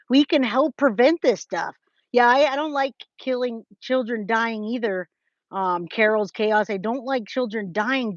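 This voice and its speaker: A woman's voice: a little high, no room sound, muffled recording. An American woman in her forties chatting casually with her livestream viewers, informal and emphatic, often repeating herself for effect. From California, United States. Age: 40s. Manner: informal, addresses viewers as guys, babe, my love. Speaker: true crime livestream host. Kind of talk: casual livestream chat, replying to viewers' comments.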